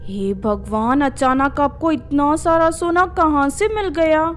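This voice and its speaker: A woman's voice: sits high, takes it slowly, soft background music, voice pushed up. A woman voicing a worried, fearful village wife with an anxious, pleading manner in a storybook tale. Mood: astonished.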